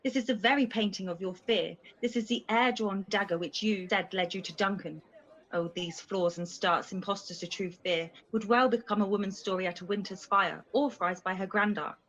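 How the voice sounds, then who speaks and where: Steely, scornful queen, female amateur actor, medium pitch, radio play verse, dullish call audio. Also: fairly even intonation, moderate pace, a bit bright. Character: commanding, scornful queen covering for her faltering husband. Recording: slightly dull video call audio.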